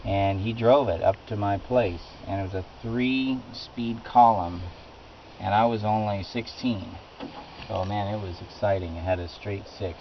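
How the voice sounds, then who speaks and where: A man's voice: fairly even intonation, a little slow. A middle-aged American man chatting casually, telling anecdotes in an informal, rambling way. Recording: dull lo-fi recording with loud rumbling noise.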